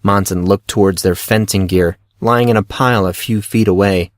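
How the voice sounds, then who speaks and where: A man's voice: fairly low pitch, steady tone, moderate pace, no echo, clean studio sound. A man reading scripted narration for a fantasy audio story as its narrator.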